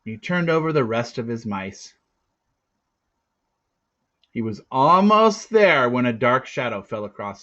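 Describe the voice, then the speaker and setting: Slow, deliberate male storybook reader. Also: medium pitch, a bit bright, highs cut off. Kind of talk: expressive read-aloud narration of a children's novel.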